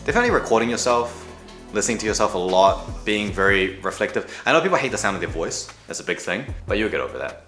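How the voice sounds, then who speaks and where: Man, mixed kiwi-aussie-american accent, low voice, casual reflective chat, filler words, echoey room, light music. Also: sing-song, fast talker, a bit bright. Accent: mixed New Zealand, Australian and American. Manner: reflective, joking, many fillers. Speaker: education creator. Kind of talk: casual behind-the-scenes chat. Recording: roomy studio with music underneath.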